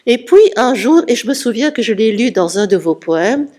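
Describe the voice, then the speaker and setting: A woman presenting and interviewing a poet, calmly explaining his background in a measured way. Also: on the high side. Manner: measured, explanatory, reading out a biography. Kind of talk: literary presentation.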